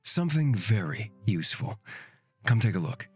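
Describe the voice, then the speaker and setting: Smug low-voiced fantasy rogue. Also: male voice, big pitch swings, moderate pace, a little mellow, husky, soft background music, full character voice. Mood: smug teasing. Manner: haughty, teasing. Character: smug, mocking knife-carrying rogue man. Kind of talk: fantasy visual novel voice acting.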